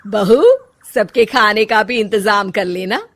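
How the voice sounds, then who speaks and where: A woman voicing a demanding middle-aged mother-in-law in a cartoon story, talking loudly and giving orders. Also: mid-range voice, lively intonation, takes it slowly. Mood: bossy. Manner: loud, commanding, fussy.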